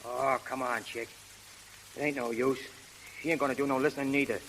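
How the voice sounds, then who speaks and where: Through the hiss of a 1930s radio recording comes a man acting a streetwise pal from the fight game. He talks in plain, ungrammatical slang at a middling pitch, loyal and blunt. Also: little pitch movement, a little fast, fairly bright tone, natural voice, low-quality recording. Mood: resigned, bitter. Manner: slangy, ungrammatical working-class talk. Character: streetwise, plain-spoken, loyal male boxing buddy, slangy.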